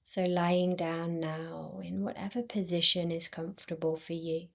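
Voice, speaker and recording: A woman guiding a yoga nidra relaxation in a soothing, unhurried way, with long pauses between short phrases. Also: fairly low pitch, flat delivery, gravelly. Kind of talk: guided meditation.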